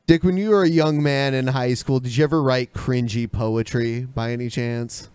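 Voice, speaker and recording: A man's voice: mid-range voice, steady tone, normal speed, darkish tone, smooth, no echo. A man hosting a podcast with casual, joking chat. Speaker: podcast host.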